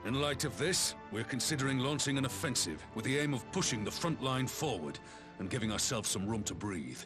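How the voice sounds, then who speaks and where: An actor playing a composed, dignified military commander in a fantasy war game, speaking formally and deliberately. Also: male voice, medium pitch, lively intonation, music in the background, natural voice. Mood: composed, resolute. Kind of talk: dramatic video game voice acting.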